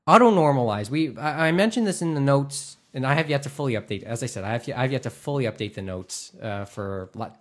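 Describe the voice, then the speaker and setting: A man hosting a podcast in casual chat, with a halting, rambling delivery full of false starts. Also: mid-range voice, expressive. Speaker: podcast host. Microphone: microphone through a mixer.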